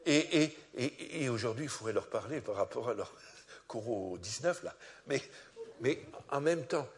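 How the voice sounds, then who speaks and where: A man from France giving a sermon as a pastor, animated and rising to loud peaks, often repeating words as he starts sentences. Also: medium pitch, measured pace. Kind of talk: testimony sermon.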